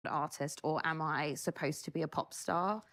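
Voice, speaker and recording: A British woman giving a slow, thoughtful interview answer, pausing as she searches for her words. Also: low-pitched, steady tone, fairly bright tone. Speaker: interviewed musician in a played clip.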